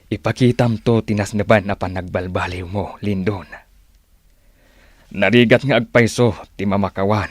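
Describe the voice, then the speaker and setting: An actor playing a calm, wise old uncle who counsels in a measured, reassuring way, in an Ilocano-accented radio drama. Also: male voice, on the low side, no echo. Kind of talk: Filipino radio drama acting.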